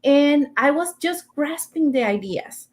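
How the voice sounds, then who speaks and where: A woman's voice: sits high, animated, measured pace, darkish tone. A woman from Mexico speaking English as her second language with a Mexican accent, talking earnestly as an interview guest and sometimes searching for words. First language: Spanish. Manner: earnest, searching for words, small grammar slips. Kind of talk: casual interview chat.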